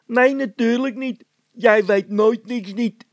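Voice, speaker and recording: A man's voice: extremely high pitch, slow, no echo, pitched above the performer's own voice. A man voicing a grumpy, sneering workman who whines and snaps in a children's story. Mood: snappish. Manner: whining, snapping.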